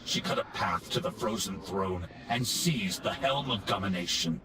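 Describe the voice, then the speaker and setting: A man's voice: low-pitched, distant mic, noisy background, lightly acted. A man playing a grim, solemn death knight champion in a fantasy video game. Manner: solemn, portentous delivery.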